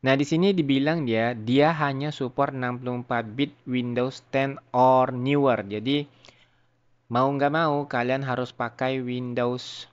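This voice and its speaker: Guy doing a chill software tutorial, slangy and chatty, mid-pitched voice. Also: fairly even intonation, measured pace, smooth, highs cut off. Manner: informal, slangy, chatty, addressing viewers. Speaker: tutorial YouTuber.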